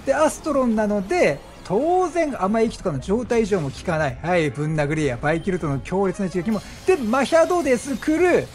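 Animated high-pitched man commentating a game. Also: brisk, hardly any pauses, soft background music. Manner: chatty, animated, exclaims at the action. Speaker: gaming streamer commentating gameplay. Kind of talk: excited game commentary and explanation.

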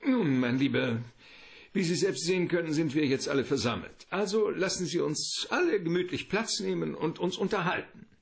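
A man playing a genial, scheming older crime boss in a German radio play, courteous and wordy, with a husky, creaky voice. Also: a little high. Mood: jovial, smooth. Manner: avuncular, unctuous, deliberate hesitations.

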